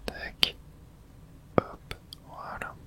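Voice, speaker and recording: A person whispering breathy, casual video game commentary in ASMR style, thinking aloud in short remarks close to the microphone. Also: very slow.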